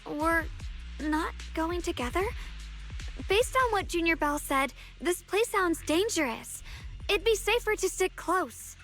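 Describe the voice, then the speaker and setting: Very high-pitched earnest young swordswoman. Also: animated, unhurried, heavily acted. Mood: worried, hesitant. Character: earnest, concerned young female swordfighter searching for her brother. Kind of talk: anime game voice acting. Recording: loud background music under voice.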